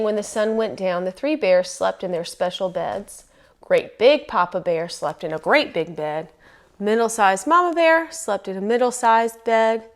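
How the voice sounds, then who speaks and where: Expressive woman reading a children's story. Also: medium pitch, gravelly. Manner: expressive, emphatic, lingering on phrases. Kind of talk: children's storybook read-aloud.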